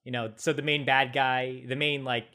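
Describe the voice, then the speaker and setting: A man's voice: medium pitch, level tone, normal speed, bright, forceful tone, clean tone. A man chatting casually about films in a loose, informal way. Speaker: film discussion podcast speaker.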